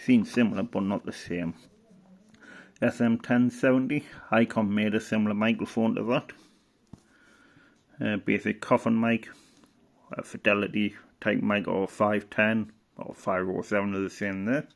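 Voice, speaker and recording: Low, creaky-voiced man, casual commentary. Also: little pitch movement, unhurried. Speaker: hobbyist presenter. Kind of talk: casual unscripted commentary while browsing a catalogue.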